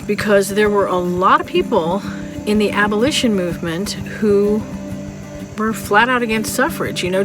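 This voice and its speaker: A woman's voice: medium pitch, rough recording. A woman vlogger narrating history in a casual, conversational way with wry, playful asides to her viewers.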